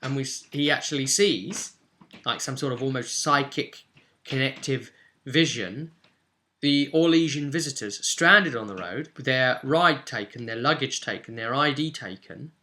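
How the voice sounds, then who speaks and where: A man recapping a tabletop roleplay session as game master, telling it informally with dramatic emphasis and suspenseful pauses. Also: mid-range voice, pushed and bright.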